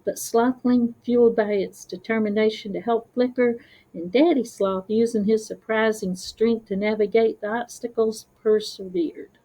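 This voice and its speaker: A woman's voice: fairly high pitch, steady tone, moderate pace, dark tone, low-quality recording. A woman storyteller reading a scripted children's story.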